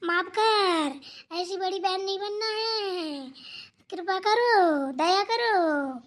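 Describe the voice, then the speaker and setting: A woman's voice: very high voice, drawn-out words, fairly bright tone, full character voice. A woman voicing a haughty, quarrelsome elder sister-in-law in a Hindi family cartoon, scolding, sarcastic and dismissive. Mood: indignant, mocking.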